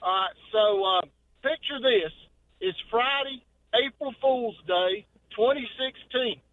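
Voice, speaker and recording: A man's voice: very high-pitched, slow pace. A middle-aged man, calling in to a radio show and telling a folksy story. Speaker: caller. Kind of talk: telling a story on a phone call. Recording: tinny phone line.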